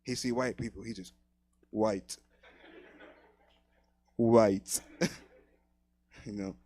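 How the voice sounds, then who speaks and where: A man's voice: lowish voice, fairly even intonation, drawn-out words, a little mellow, dry recording. A man hosting a comedy show on stage, bantering in casual slangy talk.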